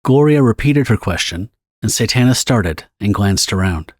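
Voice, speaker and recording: Husky, low-voiced male narrator. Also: animated, normal speed, no room sound, studio quality. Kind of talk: scripted dramatic story narration.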